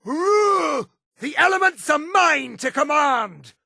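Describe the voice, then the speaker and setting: An actor playing an arrogant, disdainful high elf mage in a video game, with an imperious, theatrical delivery and a raspy voice. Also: male voice, sing-song, moderate pace, dry recording, studio quality. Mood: contemptuous battle fury. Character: haughty high elf mage, arrogant adult male supremacist. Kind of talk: video game character voice lines.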